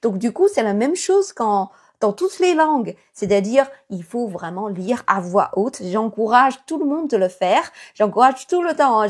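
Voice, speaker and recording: Breathy woman teacher. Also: fairly high pitch. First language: Chinese. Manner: many fillers, thoughtful, encouraging. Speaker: Chinese teacher. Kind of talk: casual video lesson.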